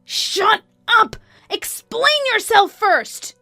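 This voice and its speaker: Woman acting a shrill, furious female villain. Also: animated, extremely slow, pushed and bright, no echo, soft background music, full character voice. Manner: shrill, demanding, indignant. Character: furious, shrill adulterous best friend, adult woman. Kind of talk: acted dialogue in a narrated story.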